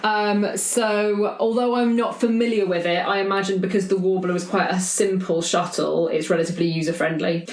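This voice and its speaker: Female player, mid-pitched, improvising narration in casual conversation, roomy echo. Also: fairly even intonation, moderate pace, hardly any pauses. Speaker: roleplaying game player.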